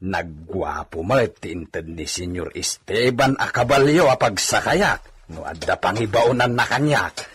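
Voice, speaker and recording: A man's voice: lowish voice, expressive, unhurried, a bit bright, slight background noise. A man playing a self-pleased village farmhand musing aloud to himself in an Ilocano radio comedy drama. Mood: pleased, chuckling.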